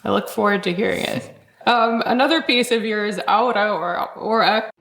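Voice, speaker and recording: Woman podcast host asking thoughtful stuff, creaky voice, bit of room echo. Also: medium pitch, moderate pace, fairly bright tone. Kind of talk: thoughtful interview questions. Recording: roomy, echoing sound.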